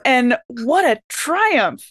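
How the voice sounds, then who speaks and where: A woman's voice: high voice, very animated, drawn-out words, fairly bright tone, breathy edge, no room sound. Enthusiastic woman chatting, close dry sound. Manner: enthusiastic, rambling, says like often. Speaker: podcast co-host and role-playing game player. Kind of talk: casual panel chat.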